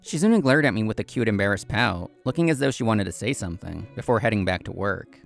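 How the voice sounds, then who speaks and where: Earnest young male café manager narrating a manga story, played by a man; lively intonation, gravelly edge. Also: lowish voice, fast, faint music behind. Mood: earnest, reflective. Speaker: narrator and lead actor of a manga story video. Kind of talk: scripted first-person narration.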